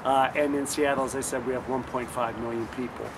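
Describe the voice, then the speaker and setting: A man's voice: medium pitch, fairly even intonation, a little fast. A man leading a landscape architecture student program, explaining it at length with many fillers. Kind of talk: on-site interview. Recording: noisy outdoor street recording.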